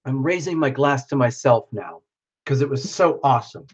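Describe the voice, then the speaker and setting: A man's voice: mid-range voice, lively intonation, normal speed, breathy edge. A man hosting a live stream, giving a casual, exuberant tarot reading and speaking straight to the viewer while losing his voice. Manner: exuberant, chatty, addresses viewer by name.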